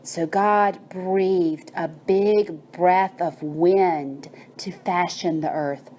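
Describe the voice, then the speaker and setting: Slow, mellow woman narrating bedtime meditation. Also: on the low side, steady tone. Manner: soothing, measured, with drawn-out phrases. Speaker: storyteller and meditation guide. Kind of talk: gentle bedtime story and guided meditation narration.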